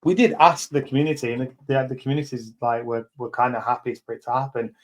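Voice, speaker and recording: A man's voice: mid-range voice, fairly even intonation, fast. A man in his thirties, hosting a live community chat as a company head, talking bluntly and rambling with lots of fillers and swearing. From England. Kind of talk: casual chat.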